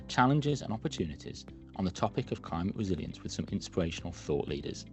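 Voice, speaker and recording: A man's voice: lowish voice, a little mellow. A man hosting a podcast, welcoming his guest and asking questions with upbeat encouragement. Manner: enthusiastic, encouraging interviewer. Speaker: podcast host. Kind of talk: podcast interview.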